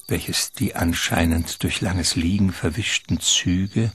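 A man narrating a literary radio drama in a measured, ornate storytelling manner. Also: sits low, fairly even intonation, fairly bright tone. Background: music.

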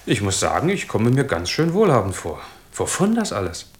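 An actor playing a bewildered, wry, wealthy Englishman in a German radio drama, musing aloud in an animated manner. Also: mid-range voice, normal speed. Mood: puzzled, musing.

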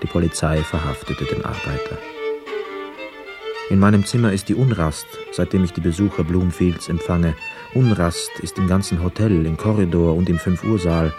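An actor playing a poor, wistful young man who narrates a literary radio drama in a measured, reflective manner. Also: sits low, music in the background, lightly acted. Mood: sombre narration. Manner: measured, reflective, hushed inner asides.

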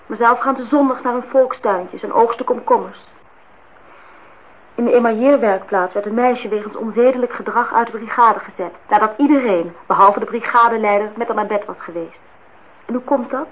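A woman's voice: fairly high pitch, normal speed, lightly acted. An actress playing an indignant, disillusioned young woman in a Dutch radio play, outspoken and reproachful as she speaks her mind. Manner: reproachful, talks in long outpourings. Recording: dull, noisy old radio play recording.

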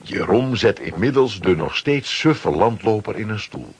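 A man narrates a children's adventure story from a script. His voice is on the low side and very husky, with animated, dramatic swings in intonation. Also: measured pace.

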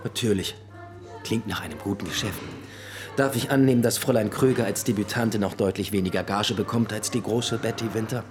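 An actor playing a dry, needling police detective questioning people in a radio drama. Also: male voice, lowish voice, fairly quick, music in the background, close to an everyday voice. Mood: sardonic, needling. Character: sardonic, probing male police detective.

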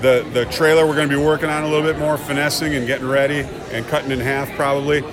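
A man's voice: fairly high pitch, steady tone, fast, crowd noise. A man giving a casual, enthusiastic interview as an audio drama creator, with some joking. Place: busy public venue at a convention. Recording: busy venue with background music. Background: music.

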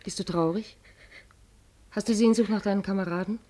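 A woman playing an anxious, devoted working-class mother in a German radio play, speaking tenderly and haltingly. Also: mid-range voice, fairly even intonation, mellow, lightly acted. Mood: concerned, reassuring. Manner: hesitant, tender, halting speech.

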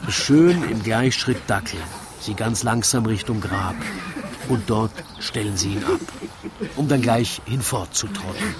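A man's voice: fairly low pitch, very animated, unhurried, background noise. A man narrating a comic rhymed story in a wry, theatrical storytelling manner. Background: music.

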